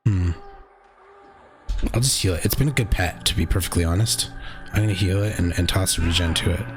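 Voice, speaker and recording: A man with a deep voice casually commentating his own online game session, thinking aloud and swearing now and then. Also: a little fast. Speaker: gaming channel host. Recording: game music behind the voice.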